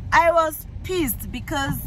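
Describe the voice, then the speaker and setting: A young Nigerian woman with a Yoruba-flavoured accent, vlogging into a phone in a car, talking in an animated, emphatic way with many fillers and restarts. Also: sits high, slow, slight background noise. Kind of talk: casual rant to camera. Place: inside a parked car. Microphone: phone camera held close.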